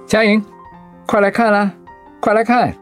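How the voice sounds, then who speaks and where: A man acting an easygoing, caring middle-aged husband, a chaplain, in an expressive radio drama style. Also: high voice, a little slow, clean tone, soft background music. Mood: excited, urging. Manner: expressive, conversational.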